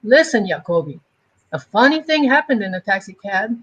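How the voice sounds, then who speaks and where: A woman's voice: mid-range voice, big pitch swings, moderate pace, husky, muffled recording. A woman voicing a thrifty, talkative old grandmother in an amateur dramatic reading of a comic, fussy in manner. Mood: grumbling, chatty.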